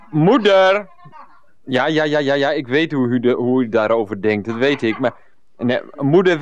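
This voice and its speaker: An actor playing an earnest, pressured middle-aged ex-teacher with a Netherlands Dutch accent in a radio comedy drama, wordy and hesitant. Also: male voice, fairly high pitch. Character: Freek, pressured 39-year-old ex-teacher, earnest, henpecked by mother.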